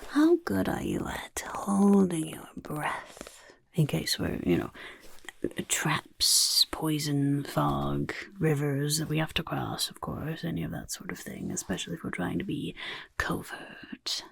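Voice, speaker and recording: A woman voicing a scheming little goblin overlord who interviews recruits in a soft-spoken ASMR roleplay, whispering smugly. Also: sits low, slow, exaggerated voice. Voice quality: gravelly, breathy whisper. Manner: whispery, smug, mischievous questioning. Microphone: close ASMR mic.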